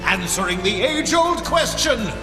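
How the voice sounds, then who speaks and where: A man's voice: sits high, big pitch swings, measured pace. A man delivering a scripted show intro as a grandiose, mock-epic announcer with dramatic emphasis. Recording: echoing hall reverb over loud music. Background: loud intro music.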